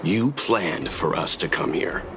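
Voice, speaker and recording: An actor voicing a grave, steady middle-aged man in a video game, stern and authoritative. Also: low-pitched, unhurried, background noise.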